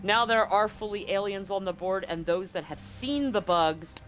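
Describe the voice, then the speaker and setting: A game master running a live tabletop roleplaying game, narrating and bantering excitably and repeating phrases for emphasis. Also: medium pitch, moderate pace. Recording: music behind the voice. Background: background music.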